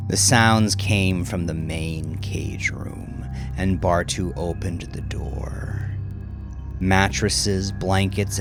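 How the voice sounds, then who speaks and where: A man reading dark fiction as a dramatic narrator, in a deliberate, brooding way with weighty pauses. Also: deep voice. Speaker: narrator of serial crime fiction. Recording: loud music behind the narration. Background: loud music bed.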